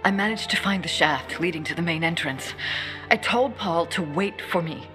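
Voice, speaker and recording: A woman's voice: lowish voice, normal speed, lightly acted. An actress playing a determined, grieving woman survivor in a video game cutscene, with earnest, emotive, naturalistic delivery. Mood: grieving, remorseful. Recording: music behind the voice.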